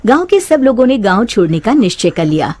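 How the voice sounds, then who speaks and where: A woman's voice: on the high side, big pitch swings, fairly quick, a little mellow. A woman narrating a scripted cartoon story.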